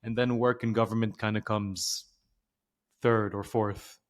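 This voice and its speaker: A man's voice: lowish voice, level tone, unhurried. A man in his twenties from Lebanon and Dubai, a podcast guest talking casually and reflectively with plenty of filler words. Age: 20s. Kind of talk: casual conversation.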